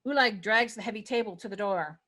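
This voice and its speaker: A woman's voice: mid-range voice, brisk, a bit bright. A woman reading stage directions as narrator in a scripted fantasy table read.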